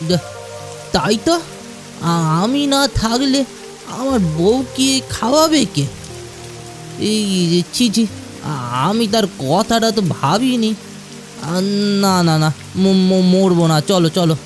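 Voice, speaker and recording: A luckless, self-pitying fisherman in a cartoon folk tale. His delivery swings widely in pitch and is hesitant and mournful. Music sits clearly behind him. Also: lively intonation, slow, long pauses. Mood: startled, bewildered. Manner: lamenting, hesitant, stammering. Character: poor despairing male fisherman, self-pitying, simple. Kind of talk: Bengali fairy-tale cartoon acting.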